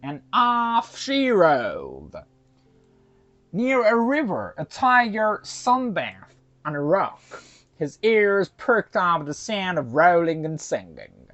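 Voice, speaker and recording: A woman's voice: fairly low pitch, big pitch swings, slow pace, fairly bright tone, lo-fi sound. A woman storyteller narrating a children's folktale expressively.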